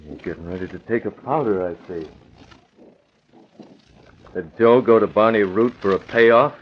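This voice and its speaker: An actor playing a tough, menacing masked vigilante in an old radio crime drama, commanding and hard-boiled. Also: male voice, lowish voice, normal speed, close to an everyday voice. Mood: sly, pressing. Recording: muffled, noisy old radio recording.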